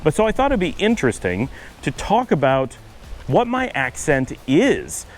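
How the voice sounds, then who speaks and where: A middle-aged man from upstate New York with a Buffalo-Cleveland accent, talking fast in a casual vlog while walking outdoors on a windy street. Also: on the high side, huge pitch swings. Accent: Buffalo-Cleveland American. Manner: fast-talking, trying to slow down. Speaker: vlogger.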